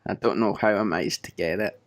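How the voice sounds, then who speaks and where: Croaky man with a cold, chatting. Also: sits low, fast, dry recording, clean studio sound. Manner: chatty, self-mocking, laughs often. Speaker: podcast host. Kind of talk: casual chat.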